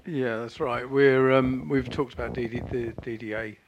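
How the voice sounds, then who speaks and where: A man on the panel of a public meeting, answering in a steady, reassuring way. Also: medium pitch, normal speed, dull audio, a little hiss or hum. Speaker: organizer on the panel. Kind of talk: meeting discussion.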